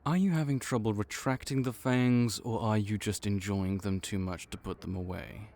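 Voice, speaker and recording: A man's voice: fairly low pitch, steady tone, moderate pace, darkish tone, close to an everyday voice. A man voicing a male vampire intake officer in an audio roleplay, matter-of-fact and wry as he walks through the paperwork.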